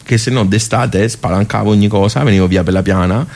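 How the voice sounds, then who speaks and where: Low-voiced, rambling old Tuscan club regular. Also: male voice, darkish tone. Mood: animated grumbling. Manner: colloquial, rambling, halting word searches, rhetorical asides. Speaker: stage actor. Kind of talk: theatrical radio soliloquy, conversational.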